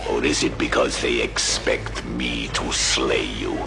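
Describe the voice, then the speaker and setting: A hulking Chaos warrior with an extremely deep voice, speaking slowly and with taunting menace. His gravelly, sardonic delivery belongs to a dark audio drama, with prominent music underneath. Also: level tone, exaggerated voice. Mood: brooding threat.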